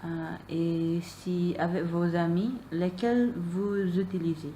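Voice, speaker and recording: A woman's voice: lowish voice, steady tone, slow, warm tone, a little hiss or hum, low-quality recording. A young woman, a non-native speaker whose first language is Malay, asking interview questions hesitantly, with many fillers and repeated answers. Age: young adult. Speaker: student interviewer.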